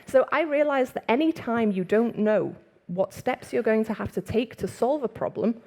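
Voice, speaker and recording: A woman from England with a Liverpool accent, giving a conference talk in a chatty way, speeding up when excited and laughing often. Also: a little high, moderate pace. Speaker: conference speaker. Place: conference room with audience.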